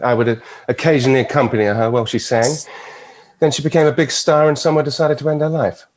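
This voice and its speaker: An actor with a British accent playing a suave, mischievous immortal devil, teasing and flippant, at a TV drama table read. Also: male voice, mid-range voice, steady tone, fast talker, lightly acted, low-quality recording. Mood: smooth, matter-of-fact.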